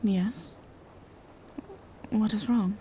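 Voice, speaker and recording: A woman voicing a gentle, bashful female vampire in an intimate roleplay audio, speaking in soft, hesitant half-whispers. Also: mid-range voice, steady tone, very slow, a little hiss or hum. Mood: tender, teasing. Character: gentle, bashful female vampire in monstrous true form, lovestruck.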